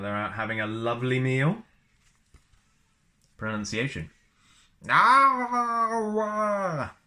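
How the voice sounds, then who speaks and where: A man's voice: on the low side, a bit bright. A man reading a book aloud as a storyteller, chatty and often slipping into casual asides. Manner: chatty, wanders into personal asides.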